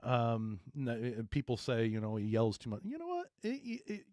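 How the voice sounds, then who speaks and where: A deep-voiced man talks sports in a loose, rambling way, restarting sentences and then pressing a point hard. His voice sits at an ordinary pitch and is recorded close and dry, with no room sound around it. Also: huge pitch swings, normal speed, a little mellow, dry recording. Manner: rambling, restarts often, emphatic. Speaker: co-host, former coach. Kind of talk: casual sports talk.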